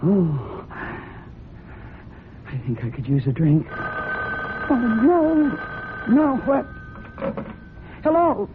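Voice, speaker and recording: A guilt-ridden, desperate woman in a radio mystery. Her delivery is deliberate and intense, with halting phrases. The sound is narrow and phone-like, with clear noise over it. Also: on the high side, wildly swooping pitch, exaggerated voice. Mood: rattled. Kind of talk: dramatic radio mystery acting. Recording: noisy, narrow-band old radio recording.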